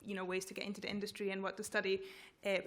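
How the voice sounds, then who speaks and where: A woman's voice: medium pitch, completely flat delivery, very fast, fairly bright tone. A woman from Hungary giving evidence at a committee hearing, earnest and full of "you know" fillers. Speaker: committee witness.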